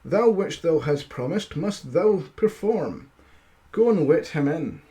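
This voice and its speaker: Storybook king, played by a man: stern father, measured archaic lines, slightly raised pitch. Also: lively intonation, warm tone. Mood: commanding.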